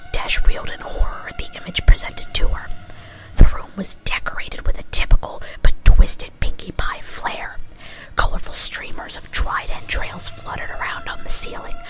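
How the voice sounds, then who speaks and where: A woman narrator reads a story in a heavy, breathy whisper. The delivery is in ASMR style, and music plays underneath. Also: extremely high pitch, poor audio.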